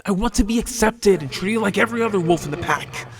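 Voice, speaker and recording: A man's voice: sits high, quick pace, noisy background. A man playing a young, injured werewolf in an audio roleplay, sullen, defiant and stubborn. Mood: defiant, bitter.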